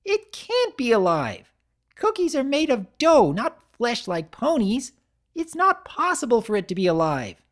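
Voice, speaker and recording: Very high, excitable cartoon pony. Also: female voice, unhurried. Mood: insistent, irritated. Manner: emphatic, insistent, strained cheer. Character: hyper, high-strung cartoon pony, young female, desperate. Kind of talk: dramatic fan-fiction reading.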